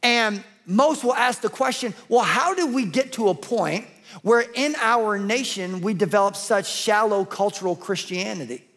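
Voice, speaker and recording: A male pastor in his forties preaches from a large church stage, his voice sitting notably high for a man. He talks emphatically and builds his points through repetition. He is picked up close on a headset mic. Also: measured pace, a bit bright. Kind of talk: sermon.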